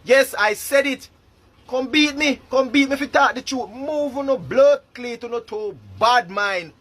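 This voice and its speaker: Bright brash Jamaican man. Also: very high-pitched, a little slow. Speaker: social media commenter. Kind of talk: brash ranking rant.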